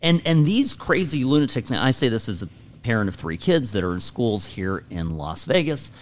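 A man's voice: mid-range voice, very animated, moderate pace. Emphatic male talk show host. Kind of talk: opinionated talk radio conversation.

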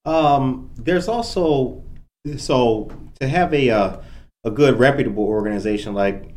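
Male host, measured, hesitant, lots of ums, mid-pitched. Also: a little mellow. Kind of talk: online interview show.

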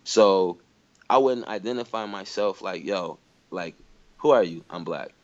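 Man, very deep voice, reflective casual talk, lots of fillers, slightly dull recording. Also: measured pace, a little mellow. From Michigan, USA. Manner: reflective, many fillers like "you know". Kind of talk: casual conversation.

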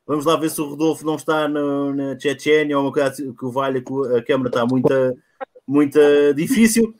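Man, hosts a casual online sports show, self-mocking, fairly high voice. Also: quick pace, darkish tone. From Portugal. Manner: chatty and self-mocking, many fillers. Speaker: podcast host. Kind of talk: casual live-stream chat.

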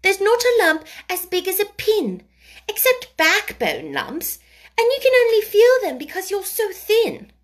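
A savage little storybook girl, acted out by a woman, her voice pitched high and swinging sharply. She scolds and gives orders fiercely, hammering each word home like a small, cross tyrant. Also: huge pitch swings, a little slow, heavily acted. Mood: stern, cross. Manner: scolding, commanding, emphatic.

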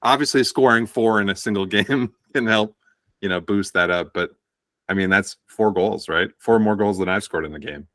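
A man's voice: lowish voice, normal speed, a bit bright, no room sound, clean studio sound. A man co-hosting a sports podcast, matter-of-fact as he reels off numbers. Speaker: stats-minded co-host. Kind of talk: casual sports chat.

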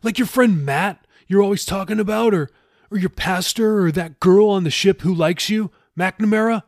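A man's voice: high voice, normal speed, no echo, studio quality, voice pushed up. A man voicing a desperate young male captive sailor in an audiobook, pleading and agitated in slangy speech. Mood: tearful pleading.

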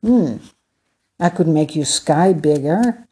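A woman voicing a patient, kindly sky god. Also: low-pitched. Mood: patient, explaining. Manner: calm, unhurried, gently explaining. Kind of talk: storybook read-aloud.

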